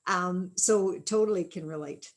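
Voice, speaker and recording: A woman hosting a real estate podcast, encouraging and quick to laugh as she brings in her guest. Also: on the low side. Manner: warm, laughs often, encouraging. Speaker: podcast host. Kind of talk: casual interview hosting.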